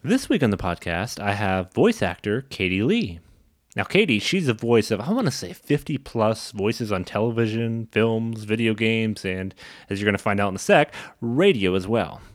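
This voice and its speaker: Low-voiced male podcast host, dry sound. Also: expressive, normal speed, dry recording. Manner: informal, chatty. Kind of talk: casual podcast introduction and interviewing.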